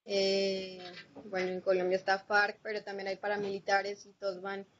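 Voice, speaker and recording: A woman's voice: mid-range voice, monotone, normal speed. A woman taking part in a seminar, giving an informal explanatory answer. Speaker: seminar participant. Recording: muffled, faintly noisy recording.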